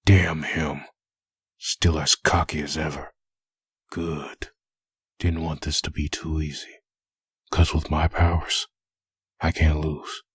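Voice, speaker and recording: A man playing a brooding undead antihero full of hatred in a comic dub, with a gravelly rasp and a grim monologue delivery. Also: extremely low pitch, flat delivery, no room sound, studio quality, full character voice. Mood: vengeful. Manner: grim, slow internal monologue. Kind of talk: comic dub dramatic narration.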